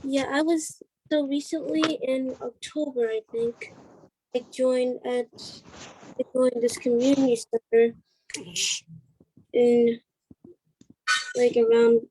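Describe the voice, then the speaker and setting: A woman's voice: high-pitched, level tone, slow, long pauses, warm tone, rough recording. A young woman chatting casually and hesitantly as an interview guest. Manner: hesitant, trailing off. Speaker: interview guest, stage play cast member. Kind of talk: casual chat.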